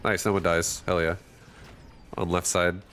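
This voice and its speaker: A man's voice: low-pitched, flat delivery, measured pace, fairly bright tone, slight background noise. A man casually thinking aloud and commenting on his own strategy game as he streams it. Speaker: gaming streamer.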